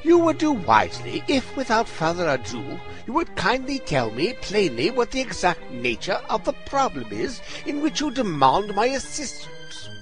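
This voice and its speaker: An actor playing a fussy, formal detective in a comic audio drama. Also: male voice, sits high, huge pitch swings, normal speed, few pauses, over music. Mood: fussy, impatient. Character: brisk, pompous, fussy English great detective. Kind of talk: audio comedy drama acting.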